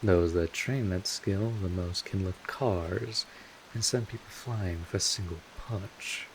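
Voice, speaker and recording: A low-voiced vampire mentor, acted out by a man, talks slowly over faint hiss and rain-like noise. He is gruff but caring, rambling through his explanations in a streetwise, informal manner, like a weary guide in an audio drama. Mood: instructive, matter-of-fact. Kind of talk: scripted audio roleplay addressed to the listener.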